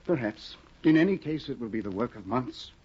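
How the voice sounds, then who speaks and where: Cast: a fond, fussy academic husband, lively husky voice, old radio sound. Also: male voice, mid-range voice, a little fast. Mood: doting. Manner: fussy, doting, hesitant. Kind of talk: 1950 radio drama acting. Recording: dull lo-fi 1950 radio broadcast.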